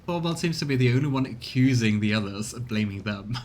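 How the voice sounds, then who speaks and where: A man's voice: lively intonation, a little fast, a little mellow. A man giving casual commentary as he plays a game on stream. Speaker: let's play streamer voicing the game's characters. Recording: rumbly background noise.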